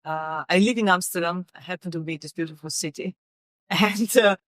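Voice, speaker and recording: A middle-aged woman from Serbia, speaking English as a guest in an interview, halting with many fillers and repeated words. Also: on the low side, no echo, studio quality. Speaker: guest, company executive. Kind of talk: casual interview conversation.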